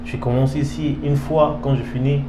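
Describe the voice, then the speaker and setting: A man's voice: medium pitch, little pitch movement, normal speed. Male interview guest, Congolese French accent. Casual, hesitant, repetitive delivery that switches languages, recorded in a reverberant room with noticeable hum. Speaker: interview guest, professional footballer. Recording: echoey room, clear background hum.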